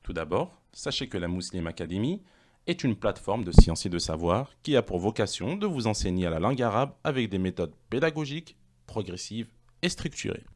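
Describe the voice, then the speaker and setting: A man presenting an online language course, reading questions and answers in a measured, explanatory way. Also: fairly low pitch, sing-song, a little mellow, slight background noise.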